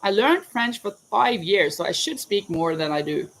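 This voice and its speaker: A woman's voice: mid-range voice, animated, moderate pace, rough recording. Norwegian lady chatting while she crochets, rambly, bit of hiss behind. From Norway. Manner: chatty, rambling, self-correcting. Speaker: crochet vlogger and teacher. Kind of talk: casual live chat answering viewers while crocheting. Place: home in Spain, crickets outside.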